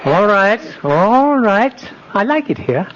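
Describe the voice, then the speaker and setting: Kindly old Santa figure, courteous, animated, fairly high for a male, 1950s radio drama. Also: takes it slowly, a crowd in the background, noisy background, full character voice. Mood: gentle, pleased. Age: older. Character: kindly old whiskered man claiming to be Santa Claus. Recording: muffled old radio broadcast.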